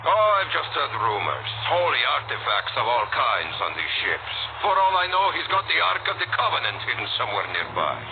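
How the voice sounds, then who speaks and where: Through tinny, very noisy audio comes a sly, jovial port smuggler , acted by a man. His voice is fairly high and swoops wildly as he teases in theatrical video game style. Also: big pitch swings, brisk, hardly any pauses, close to an everyday voice. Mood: teasing, jovial. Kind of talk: video game dialogue acting. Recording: tinny narrow-band audio with loud noise.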